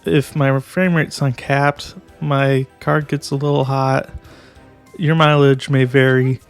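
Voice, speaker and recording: A man's voice: medium pitch, level tone, slow pace, smooth, faint music behind. A young man giving a casual video game review, hesitant and restarting his sentences often. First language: English.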